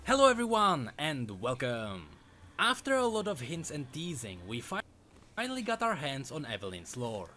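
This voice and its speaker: Dramatic male lore narrator, animated pitch. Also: moderate pace, soft background music. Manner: dramatic storytelling delivery. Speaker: narrator of a game lore video. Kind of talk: scripted narration.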